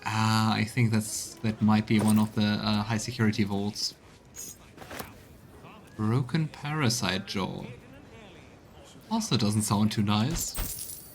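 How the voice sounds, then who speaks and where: A man's voice: lowish voice, steady tone, takes it slowly, pauses often, a little hiss or hum. A man streaming a game, reading texts aloud and musing on them in gameplay commentary. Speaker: let's play streamer.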